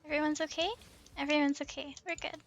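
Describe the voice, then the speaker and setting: A woman's voice: high voice, flat delivery, quick pace, lo-fi sound. A woman livestreamer giving casual, easily flustered commentary as she talks herself through a game. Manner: giggly, easily flustered, self-talking. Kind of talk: casual live gameplay commentary. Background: background music.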